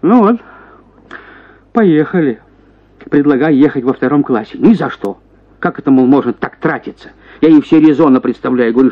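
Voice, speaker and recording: An actor voicing a henpecked prosecutor, a self-pitying husband who grumbles and exclaims theatrically in a radio story. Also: male voice, fairly high pitch, narrow, tinny audio. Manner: plaintive grumbling that bursts into excited exclamations.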